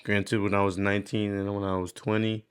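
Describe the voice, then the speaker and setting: Low-voiced young man, candid and rambling. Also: level tone, a little fast, smooth. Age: 20s. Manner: candid, rambling, frequent swearing and slangy "bro". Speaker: vlogger. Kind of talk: casual confessional video diary.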